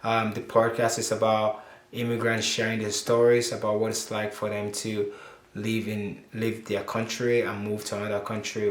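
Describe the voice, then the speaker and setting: A man hosts a casual podcast conversation in a lowish voice. He talks in a relaxed, rambling way, full of "like" and "you know", and laughs easily with his guest. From Nigeria. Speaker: podcast host.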